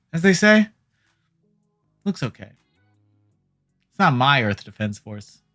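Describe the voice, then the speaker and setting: A host delivering casual gaming news commentary in an irreverent, jokey way, swearing casually, with a medium-pitched voice. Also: a bit bright. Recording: music playing behind the voice. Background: background music.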